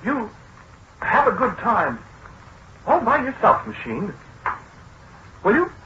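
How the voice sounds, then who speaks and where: An American actor playing a gentle, disgraced scientist in a 1940s radio drama, hesitant and apologetic, with self-pity in his delivery. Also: high-pitched, huge pitch swings, exaggerated voice. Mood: wistful, self-pitying. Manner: hesitant, halting, self-pitying. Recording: muffled, noisy 1940s radio recording.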